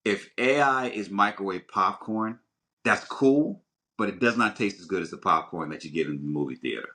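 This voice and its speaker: A man's voice: low-pitched, expressive, bright, forceful tone. A man co-hosting a podcast, giving opinions on films in an emphatic, rambling way with frequent restarts. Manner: emphatic, halting and rambling with repeated starts. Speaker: podcast co-host. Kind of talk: casual opinionated film chat.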